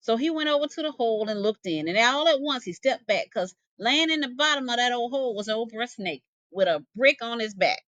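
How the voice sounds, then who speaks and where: A woman's voice: a little high, expressive, brisk, few pauses, fairly bright tone, dry recording, dull audio. A woman narrating a folktale expressively, with folksy dialect phrasing and dramatic delivery. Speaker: storyteller, English teacher.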